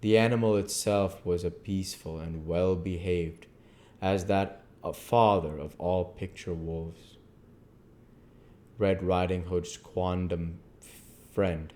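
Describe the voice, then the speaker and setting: A man reading a classic novel aloud as an amateur narrator, measured, with the odd stumble. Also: deep voice, fairly even intonation, a little mellow. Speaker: amateur book reader.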